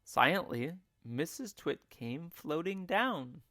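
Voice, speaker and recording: Male storybook narrator, teacherly and theatrical. Unhurried delivery, very lively intonation. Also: on the high side, dry recording, clean studio sound. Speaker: teacher reading a children's book aloud.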